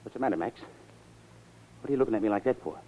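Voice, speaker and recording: An actor playing a gruff, slow-witted henchman with slangy tough-guy talk, in 1940s radio style on a muffled old broadcast. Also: on the low side, lively intonation. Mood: sheepish, defensive.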